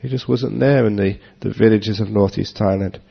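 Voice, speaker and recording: A man giving a relaxed Dharma talk to an audience, joking and chuckling as he answers questions. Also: lowish voice, moderate pace, gravelly, slightly rough. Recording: dull lo-fi talk recording.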